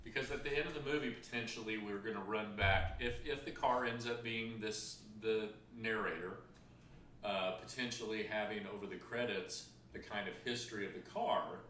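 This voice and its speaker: Male co-host, bright and forceful, casual halting explainer, off-mic in a roomy, slightly noisy space. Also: on the low side. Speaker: show co-host and writer. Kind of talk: casual chat, pitching story ideas. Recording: distant, echoey mic with background noise.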